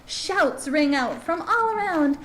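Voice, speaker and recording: A woman plays an upbeat mock-documentary presenter with a fairly high voice. She sounds earnest and polite, gushing in a promotional way over slight background noise. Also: expressive, takes it slowly, fairly bright tone, heavily acted. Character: upbeat small-town tourism documentary host. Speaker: host and interviewer. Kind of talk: scripted promotional narration and interview.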